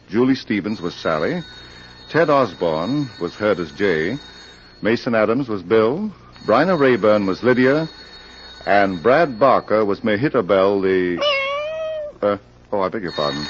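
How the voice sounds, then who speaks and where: An actor playing a genial crime club librarian, announcing politely and measuredly in old radio style. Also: male voice, on the low side. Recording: muffled, faintly noisy vintage radio recording.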